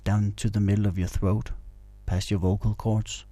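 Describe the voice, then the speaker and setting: A man guiding a meditation in a calm, soothing, instructional way. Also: lowish voice, normal speed, no room sound. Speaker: meditation guide. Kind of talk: guided meditation narration.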